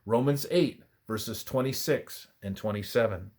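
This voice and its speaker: A man reading a scripted religious study aloud in a measured, reverent, formal manner. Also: mid-range voice, a little mellow. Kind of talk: scripted devotional narration, read aloud.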